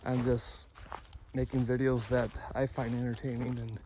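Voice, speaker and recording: A man records a casual hobby vlog in an average-pitched voice. He rambles candidly, pausing and rewording as he goes. Clear ambient noise sits behind his speech. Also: level tone. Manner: halting, self-correcting, candid. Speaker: amateur radio hobby vlogger.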